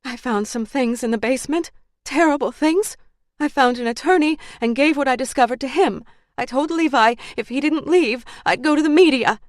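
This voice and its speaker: A woman narrator voices an anxious wife under questioning, her voice lifted high and strained. She stays defensive and pleading. The audiobook sound is dry and close. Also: moderate pace, no room sound, close to an everyday voice.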